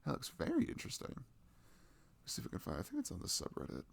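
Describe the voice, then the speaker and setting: A man's voice: medium pitch, wildly swooping pitch, fast talker, gravelly, husky. A young adult man chatting casually and enthusiastically about video games in a rambling, slangy way, close to the microphone.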